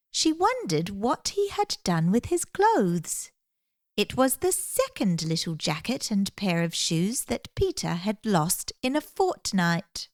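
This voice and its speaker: A woman reading a children's story aloud in an expressive, gentle, deliberate storytelling manner. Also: mid-range voice, no echo, studio quality.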